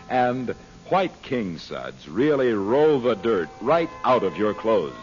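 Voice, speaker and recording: Old timey radio ad guy, swoopy emphatic delivery, music behind, dull sound. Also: fairly low pitch. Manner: salesmanlike, emphatic. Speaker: radio announcer. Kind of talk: 1940s scripted radio ad and sign-off. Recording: muffled old radio broadcast recording.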